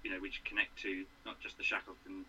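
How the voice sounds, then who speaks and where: A man in his sixties from England, a guest in a casual interview, who talks haltingly with many fillers and restarts. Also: low voice, flat delivery, pushed and bright, slight background noise, low-quality recording.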